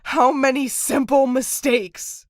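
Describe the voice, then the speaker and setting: A woman's voice: high-pitched, steady tone, slow pace, no room sound, clean studio sound. An actress playing a cold, bitter, long-suffering woman in a dramatic video game scene, speaking in an accusing way. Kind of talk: dramatic game cutscene acting.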